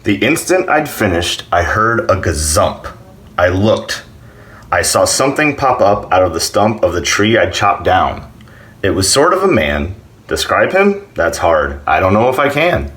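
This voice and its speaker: A man's voice: low-pitched, sing-song, measured pace, fairly bright tone. A man reading a children's storybook aloud with dramatic expression. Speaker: school staff member reading aloud.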